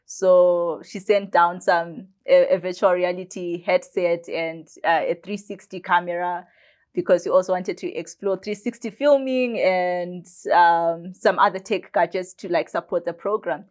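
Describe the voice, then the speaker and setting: Low-voiced young woman. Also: fairly even intonation, a little slow, darkish tone. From Zimbabwe. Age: about 20. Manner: chatty, lots of "like" and "uh" fillers. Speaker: guest, biomedicine student. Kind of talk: casual storytelling in conversation.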